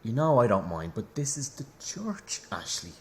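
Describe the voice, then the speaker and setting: A man's voice: medium pitch, big pitch swings, moderate pace, close to an everyday voice. An actor playing a devout, anxious male news cameraman in an audio drama, with an earnest, cautious manner. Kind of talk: naturalistic audio drama acting.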